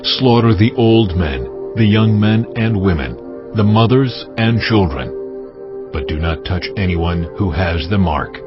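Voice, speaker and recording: A grave, deep-voiced God. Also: male voice, expressive. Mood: stern. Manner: slow, solemn and grave pronouncements. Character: stern, commanding voice of God, ancient male deity. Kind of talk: dramatized scripture reading. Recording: dull lo-fi audio with music bed. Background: music.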